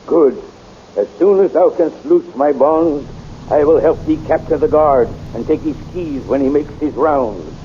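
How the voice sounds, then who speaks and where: A man's voice: on the high side, a little slow, muffled recording, a little hiss or hum, lightly acted. A man playing a mighty lion-man prince in an old radio serial, speaking in archaic thee-and-thou phrases. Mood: stately. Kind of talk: 1930s radio acting.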